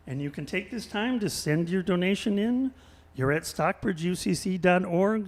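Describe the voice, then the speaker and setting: A man playing an upbeat radio host running a charity fundraiser, recorded in a reverberant hall. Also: high-pitched. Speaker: host of a radio theater show. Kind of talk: radio show hosting and announcing. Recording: echoey hall with long reverb.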